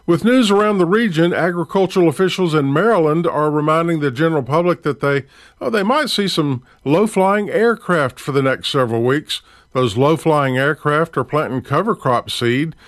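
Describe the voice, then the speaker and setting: A man hosting a farm radio program, reading rural news and sponsor copy in a steady announcer delivery into a studio mic. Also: a little high, moderate pace, no room sound.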